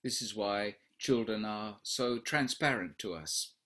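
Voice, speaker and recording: A man in his fifties leading an online question session from a room at home, speaking slowly and reflectively over a video call. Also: lowish voice, bright, forceful tone. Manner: slow, reflective, husky. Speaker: workshop leader. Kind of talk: online Q&A talk. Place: home room with wooden doors.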